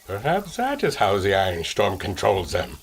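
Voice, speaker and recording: A sturdy, precise engineer , played by a man. His voice is low with lively inflection. He chooses formal words. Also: moderate pace, vocal fry, breathy edge, heavily acted. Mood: analytical. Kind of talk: audio drama acting.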